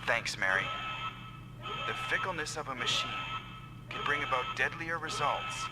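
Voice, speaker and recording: A man's voice: on the high side, lively intonation, moderate pace, over loud music, natural voice. A man playing a weary, self-sacrificing android in a dramatic, solemn anime-style game dub. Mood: grave, remorseful.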